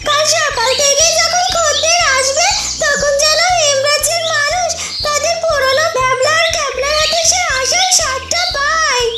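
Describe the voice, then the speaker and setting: A woman playing a bossy, practical young village shopkeeper in a cartoon, briskly scolding in a teasing way. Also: very high voice, steady tone, far from the mic. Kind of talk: cartoon voice acting. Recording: echoey, music underneath.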